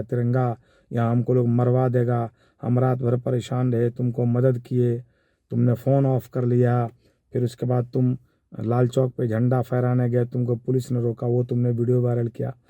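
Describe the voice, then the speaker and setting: A man with a Bihari accent giving informal spoken commentary in a measured, halting, explanatory way. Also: mid-range voice, completely flat delivery, no room sound, phone-quality sound. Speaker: commentator giving his analysis of a viral call.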